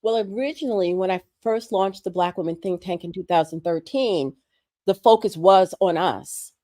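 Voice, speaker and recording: A hoarse woman, sick with a respiratory virus, making earnest, emphatic points as a guest on a livestream conversation. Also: on the low side, normal speed, warm tone, no echo, studio quality. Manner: earnest, emphatic, building points through repetition. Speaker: guest commentator.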